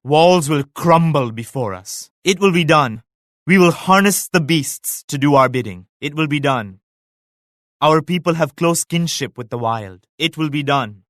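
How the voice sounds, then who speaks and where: An actor playing a devout, solemn emperor and military leader in a strategy game, with measured, grave, pious declamation. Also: male voice, a little high, lively intonation, a bit bright, no echo, clean studio sound. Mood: dignified, devout. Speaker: video game character voice actor. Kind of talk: scripted strategy game voice lines.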